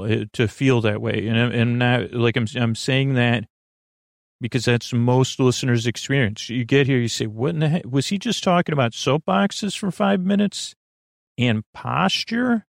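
Male sleep podcast host: gravelly creak, mid pitch, hesitant rambling digressions, lulling delivery, dry sound. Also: no room sound.

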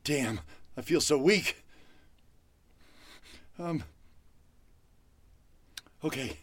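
An actor playing a panicked, foul-mouthed captive in old-time radio horror style, halting and melodramatic. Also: male voice, fairly high pitch, lively intonation, dry recording, heavily acted. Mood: weak, anxious. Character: panicked, foul-mouthed captive man pleading and scheming to escape.

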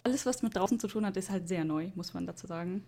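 A woman's voice: fairly low pitch, quick pace, dark tone. A young woman chatting casually, telling a story and quoting people with little laughs. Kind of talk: casual chat.

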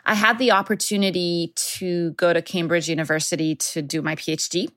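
A woman's voice: on the low side, steady tone, brisk, fairly bright tone, dry recording. A woman from Egypt, a scientist and founder, answering interview questions from home thoughtfully and with fillers.

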